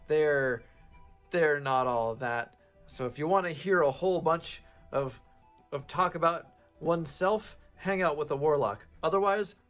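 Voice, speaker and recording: A man playing a male goblin engineer in his own voice, slowly giving halting advice while recovering from a sore throat. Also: on the high side, fairly bright tone. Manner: slow, halting advice-giving with pauses and fillers. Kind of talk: improvised tabletop roleplay monologue.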